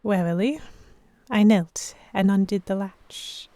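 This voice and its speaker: A woman playing a stubborn, sharp-tongued captive of pirates, narrating an audio drama in the first person. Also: mid-range voice, slow, dry recording, clean studio sound, close to an everyday voice. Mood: puzzled, wary.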